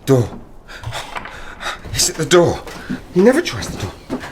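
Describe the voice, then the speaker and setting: An actor playing a haunted, desperate man who survived an Arctic plane crash, halting and muttering to himself in an audio drama. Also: a little high, wildly swooping pitch, noisy background.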